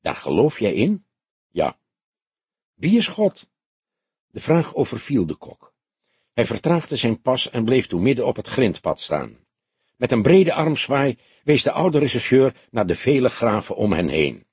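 Very husky male audiobook narrator. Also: mid-range voice, very animated, normal speed, darkish tone, no echo. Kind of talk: expressive scripted literary narration.

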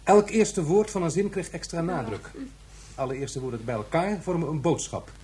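High-pitched, stubborn professor, played by a man. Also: lively intonation, moderate pace, darkish tone, natural voice. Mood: emphatic. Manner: precise, insistent, pedantic. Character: stubborn, earnest male professor arguing his case. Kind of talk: Dutch science fiction radio drama acting.

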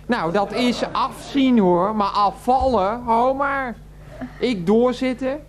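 An actress playing a chatty, rambling middle-aged psychiatric patient in a radio play, breezy and folksy. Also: female voice, fairly high pitch, takes it slowly, slight background noise. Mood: rambling, confiding. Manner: rambling, folksy, repeats a pet phrase.

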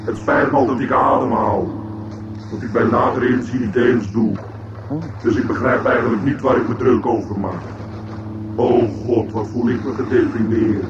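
Man playing a gloomy, gravelly robot, heavy noise. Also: mid-range voice, fairly even intonation, normal speed, echoey room, recorded from a distance, lightly acted. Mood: despondent. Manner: weary, morose, sarcastic, complaining. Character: gloomy, self-pitying male robot, deeply depressed. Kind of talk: radio play acting. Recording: muffled, very noisy old radio drama recording.

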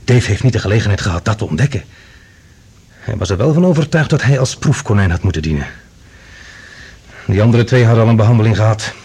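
A man's voice: on the low side, expressive, fast, long pauses, darkish tone, husky, heavily acted. A male actor playing an agitated, earnest man bringing alarming news in a science fiction radio play. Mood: awed, grave. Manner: urgent, earnest, dramatic.

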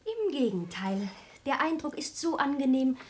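A woman's voice: high-pitched, very animated, moderate pace, a little mellow. A woman playing a coy, pert young daughter who teases with pointed politeness in a comic radio drama. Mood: coy, teasing.

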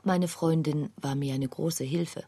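An actress playing a grave woman who recounts personal misfortune in a radio play, with a husky voice. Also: low-pitched, fairly even intonation, a little slow, dark tone, no room sound, exaggerated voice. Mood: reflective. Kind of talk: scripted first-person radio play narration.

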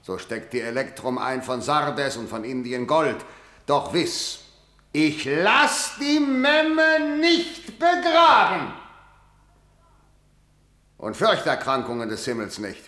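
An actor playing a proud, scornful, unyielding king in a radio verse drama, with a measured, declamatory delivery. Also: on the high side, sing-song, bright, forceful tone, full character voice. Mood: defiant, threatening. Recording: roomy, reverberant radio play recording.

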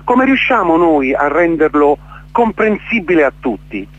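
Impassioned, high-pitched man, lively intonation. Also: unhurried. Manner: impassioned, emphatic, repeats words, checks with "capito?". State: emotionally worked up. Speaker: event organiser being interviewed. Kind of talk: radio interview, explaining. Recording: dull, lo-fi radio sound.

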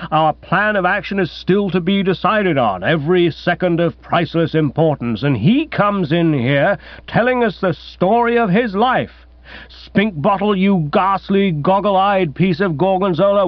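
A man putting on a commanding, exasperated older aunt, swooping pitch. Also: expressive, measured pace, dry recording, muffled recording. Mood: exasperated, scathing. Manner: forceful, theatrical, scathingly insulting.

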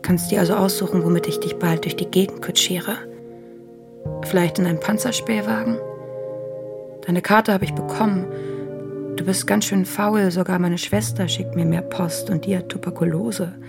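Low, creaky-voiced young letter reader. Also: female voice, steady tone, normal speed. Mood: intimate, wry. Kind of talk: German radio drama, intimate letter reading. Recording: music clearly behind the voice.

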